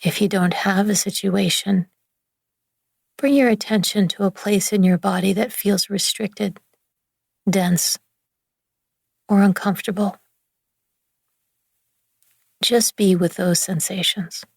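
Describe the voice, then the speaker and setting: A woman leading a guided relaxation meditation in a gentle, soothing, hushed manner with long pauses between phrases. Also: medium pitch, fairly even intonation, creaky, slightly rough, no room sound, studio quality. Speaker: yoga nidra meditation guide.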